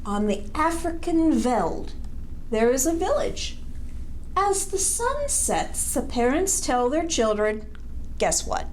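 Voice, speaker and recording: A woman's voice: sits high, very animated, slow, a little mellow, rough recording. A woman hosting an interactive children's read-aloud, speaking in a coaxing sing-song way and putting questions to the listener. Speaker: storytime host reading a picture book. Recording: faint background noise.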